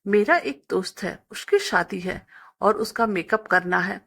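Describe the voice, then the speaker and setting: A woman's voice: mid-range voice, animated, measured pace, fairly bright tone. A woman voicing a young married woman customer in a story, polite, friendly and coaxingly insistent. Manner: polite, persuasive.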